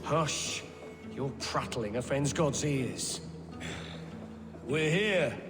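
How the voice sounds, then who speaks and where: Very deep-voiced, scolding zealot. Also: female voice, lively intonation, drawn-out words, exaggerated voice. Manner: clipped, admonishing. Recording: echoing hall, music behind.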